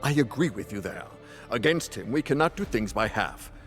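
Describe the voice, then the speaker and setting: A man playing a determined, authoritative war council leader in a fantasy game, with deliberate delivery. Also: mid-range voice, expressive, fairly bright tone, soft background music, close to an everyday voice. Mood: weighty. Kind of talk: dramatic fantasy game cutscene acting.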